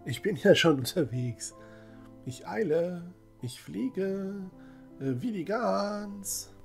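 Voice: mid-range voice, slow pace, gravelly, soft background music. A performer playing a cheerful, eager sixteen-year-old male novice in a fantasy audio drama. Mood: flustered eagerness.

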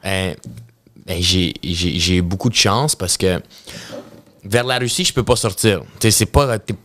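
Low-voiced Quebec French man, dry studio sound. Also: a little slow, no room sound. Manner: animated, many restarts, mixes in English words. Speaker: podcast guest, professional hockey player. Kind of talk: casual storytelling interview. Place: indoor podcast studio with bookshelves. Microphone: studio podcast mic on boom arm.

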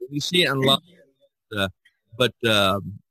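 A man hosting a podcast, talking casually about art in a rambling, halting way with frequent restarts. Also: medium pitch, pushed and bright, dry recording, low-quality recording. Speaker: podcast host discussing art. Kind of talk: casual conversational discussion.

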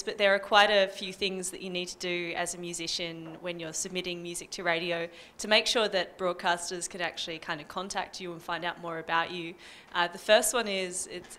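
A woman's voice: medium pitch, sharp tone. A young adult woman explaining practical tips as a panelist, speaking into a handheld microphone. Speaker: community radio broadcaster, panelist. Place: indoor panel event.

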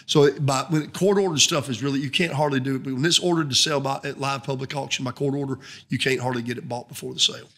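A man's voice: mid-range voice, fairly even intonation, fast talker, few pauses, a bit bright. A middle-aged man with a Southern US accent, explaining his trade in a folksy, repetitive way as a podcast guest on a close studio mic. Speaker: guest, auctioneer. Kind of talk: conversational podcast interview, explaining his business. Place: indoor podcast studio at a round table. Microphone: boom-mounted podcast microphone up close.

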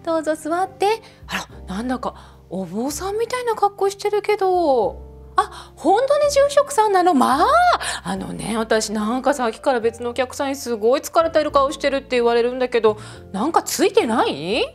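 A woman's voice: high-pitched, sing-song, normal speed, faint music behind. A woman playing a chatty snack-bar hostess, gushing and talking in a feminine way to an unseen customer. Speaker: bar hostess in a role-play. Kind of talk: one-sided role-play with an unseen customer.

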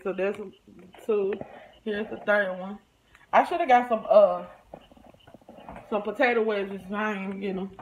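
An American woman chatting casually to camera in a folksy, informal way, as a vlogger at home. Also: mid-range voice. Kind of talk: casual chat to camera, showing purchases. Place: room at home, indoors. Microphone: phone or camera at arm's length. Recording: noisy handheld home recording, clicks.

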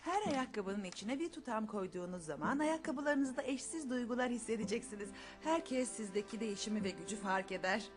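A woman voicing a kindly, sceptical mother with a gently teasing manner in a dubbed children's cartoon. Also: on the high side, lively intonation, brisk, few pauses, lightly acted. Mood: sceptical, amused. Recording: music under the voice.

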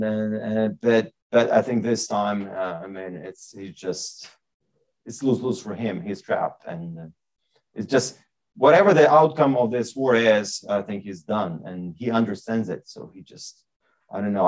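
A middle-aged man whose first language is Ukrainian, answering audience questions in an animated way, restarting often, in a lecture room heard from a distant camera. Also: lowish voice. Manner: animated, repeats himself, many restarts. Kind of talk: Q&A answer at a public address. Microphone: far camera, standing at lectern.

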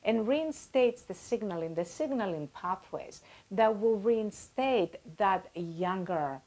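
A woman in her sixties explaining as a guest expert in an interview, animated and gesturing, using everyday comparisons, on a lapel mic in a small office. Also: mid-range voice, takes it slowly. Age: 60s.

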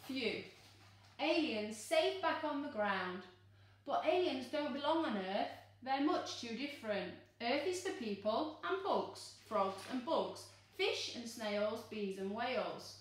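A woman reads a children's storybook aloud in a very echoey room, some distance from the microphone. She is expressive and full of exclamations, coaxing young listeners along. Her voice sits fairly high. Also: slow pace. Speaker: teacher reading a picture book to children. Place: living room, seated on a sofa. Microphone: camera a few metres away.

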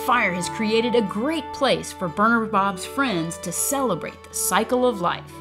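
A woman's voice: mid-range voice, expressive, measured pace. A woman narrating for children in an upbeat, simple way, as a prescribed burner explaining the forest. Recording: voice-over over music. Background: background music.

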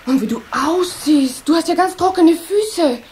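A woman's voice: very high-pitched, unhurried, a little mellow. A woman playing a fussy, reproachful water-sprite mother in a children's radio play. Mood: scolding. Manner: reproachful, bossy, fretful. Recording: cassette recording with faint hiss.

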